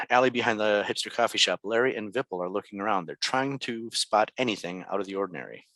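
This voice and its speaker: A man's voice: lowish voice, a little fast, sharp tone, dry recording, poor audio. A man reading stage directions as scripted narration for a table read.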